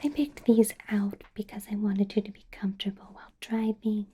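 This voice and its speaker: A woman voicing a doting, possessive vampire boss in an ASMR roleplay, in a soft breathy whisper with a coaxing, controlling sweetness. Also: mid-range voice, normal speed. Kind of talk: ASMR roleplay, close whispered personal attention.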